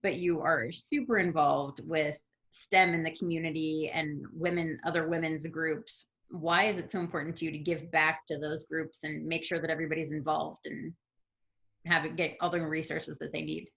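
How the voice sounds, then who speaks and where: A woman with a very low, deep voice hosts an interview. She asks friendly questions that run on in several parts. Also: level tone, moderate pace, creaky, fairly bright tone, lo-fi sound. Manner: friendly, long multi-part questions. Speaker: interview host.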